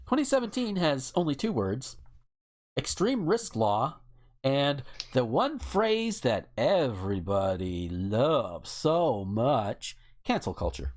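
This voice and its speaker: Male host, informal and rambling, highly varied intonation, casual chat delivery. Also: big pitch swings, slow pace, darkish tone. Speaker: podcast host. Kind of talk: casual chat, reading out word lists.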